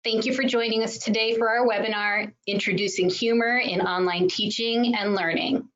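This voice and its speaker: A woman's voice: a little high, steady tone, normal speed, fairly bright tone. A woman opening a webinar as its host, politely reading a scripted welcome and introduction. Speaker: journal manager, host. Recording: dull lo-fi webinar audio.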